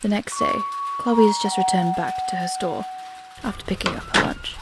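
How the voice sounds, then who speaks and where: A woman's voice: mid-range voice, huge pitch swings, normal speed, loud music behind the voice. A woman reading scripted story narration as the narrator of an audio drama.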